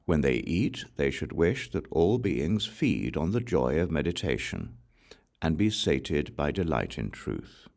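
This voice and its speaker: A man with an extremely deep voice reads scripture aloud in a solemn, measured way. His delivery is slow, yet the pitch rises and falls in a lively cadence. It is a scripted audiobook recitation. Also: creaky. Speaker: audiobook reader.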